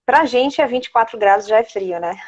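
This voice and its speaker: A woman in her thirties speaks in a fairly high voice with a Bahian Brazilian accent. She is chatty and informal, like a guest in a relaxed interview. The audio is slightly dull and lo-fi. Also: moderate pace. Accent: Bahian Brazilian Portuguese. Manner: chatty, prone to laughing, checking with "né". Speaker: interview guest, child psychologist. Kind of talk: casual conversational interview.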